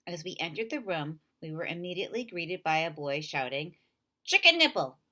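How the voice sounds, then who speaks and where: A woman narrating a children's novel in an expressive read-aloud style. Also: sits low, brisk.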